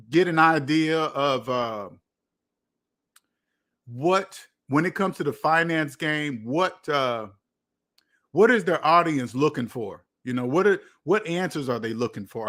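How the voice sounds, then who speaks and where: A man's voice: fairly high pitch, fairly bright tone. An American man hosting a casual livestream, chatting with viewers in a rambling way and repeating phrases for emphasis. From United States. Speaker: livestream host. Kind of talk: casual livestream chat, answering viewer comments.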